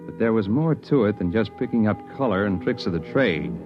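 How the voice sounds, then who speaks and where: A male actor playing a thoughtful investigator of about forty, with measured, conversational delivery in 1950s radio drama. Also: fairly low pitch, expressive, over music, natural voice. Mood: reflective, measured. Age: 40s. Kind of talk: 1950s radio drama, first-person noir narration. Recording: muffled vintage radio recording.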